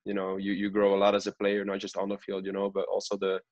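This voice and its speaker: A man's voice: low voice, monotone, fast talker, a little mellow. A man in his twenties, a pro soccer player, chatting casually as an interview guest. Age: 20s. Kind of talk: casual podcast interview chat.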